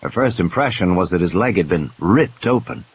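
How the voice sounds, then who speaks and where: A man's voice: deep voice, animated, moderate pace, creaky, husky, lo-fi sound. A man narrating an audiobook play in a dramatic, scripted storytelling style. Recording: hissy, noisy background.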